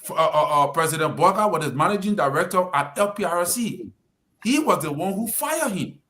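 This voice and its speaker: A Liberian man with a voice a bit higher than most gives political commentary on the radio. He lectures emphatically, pressing each point home in a Liberian English accent. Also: lively intonation, brisk, pushed and bright. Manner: lecturing, emphatic, addresses the president directly. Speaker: radio talk show panelist.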